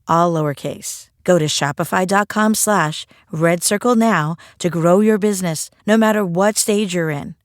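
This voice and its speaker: A woman giving a smooth, upbeat scripted ad read. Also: fairly low pitch, dry recording, clean studio sound. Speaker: ad reader.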